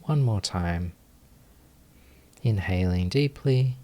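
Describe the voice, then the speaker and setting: A man leading a guided meditation as a teacher, giving gentle instructions with long pauses. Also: fairly low pitch, lively intonation.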